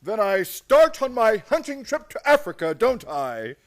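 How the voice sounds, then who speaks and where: A man's voice: high voice, sing-song, measured pace. A man acting a cheerful, deluded fellow who believes he is the president, hearty and grand, in a radio play comedy. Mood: joyful.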